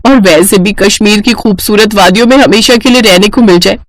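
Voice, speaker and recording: Woman playing an excitable, naive young bride. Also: medium pitch, brisk, no room sound, lightly acted. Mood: eager, insistent. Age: young adult. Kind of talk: cartoon story voice acting.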